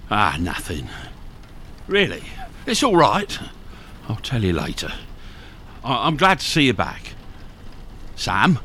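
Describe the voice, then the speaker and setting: A gruff male criminal in a radio crime drama, wounded, pained and impatient. His secretive talk swings widely in pitch over faint background noise. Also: huge pitch swings, slow pace, pauses often, pushed and bright, exaggerated voice. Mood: urgent, irritable. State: in pain from a wound.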